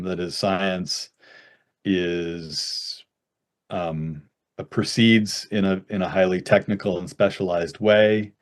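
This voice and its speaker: A man's voice: deep voice, darkish tone. A man on a webinar call answering an audience question in an academic way, with an American accent, often ending points with "right?" and many fillers. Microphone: webinar video call.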